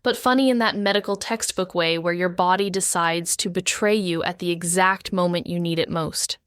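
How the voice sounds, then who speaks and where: A woman's voice: lowish voice, steady tone, moderate pace, fairly bright tone, smooth, no echo, studio quality, natural voice. A woman playing a wry, anxious, sweary amateur sleuth, narrating a noir audio drama in the first person. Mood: wry, tense.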